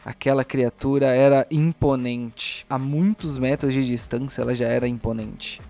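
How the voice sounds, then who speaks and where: A Brazilian man narrating a tabletop game as game master, in a deliberate, theatrical storyteller's manner. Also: medium pitch, steady tone, gravelly, warm tone, soft background music.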